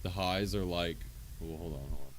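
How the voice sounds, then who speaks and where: A man with a naturally deep voice, rambling and often interrupting himself as he casually demonstrates gear on a livestream. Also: normal speed, slight background noise.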